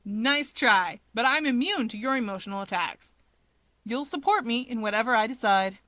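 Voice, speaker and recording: A woman's voice: fairly high pitch, normal speed, pushed and bright, no echo. A woman voicing a shameless, teasing young man, a cocky younger brother in a fan story, talking emphatically. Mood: cocky, indignant.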